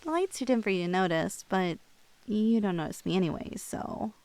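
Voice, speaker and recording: A young woman playing a shy, lovestruck cosplayer in an intimate ASMR roleplay, with girlish slangy speech and a halting, bashful manner, close on the mic. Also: medium pitch, expressive, darkish tone, close to an everyday voice. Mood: wistful, hesitant. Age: young adult. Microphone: close, dry studio-style microphone.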